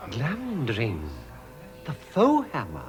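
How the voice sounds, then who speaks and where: An actor playing a learned, inquisitive old wizard in a 1960s radio drama. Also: male voice, mid-range voice, wildly swooping pitch, slow, soft background music. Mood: awed.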